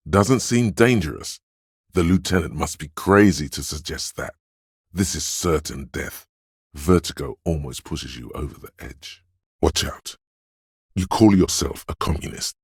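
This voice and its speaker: An actor voicing a suave, theatrical inner voice in a video game, narrating in a measured, wry way with a gravelly, husky tone. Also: male voice, very deep voice, no room sound, studio quality, exaggerated voice. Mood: wry alarm. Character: suave, theatrical inner voice of a detective's flair and agility. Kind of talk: scripted second-person game narration.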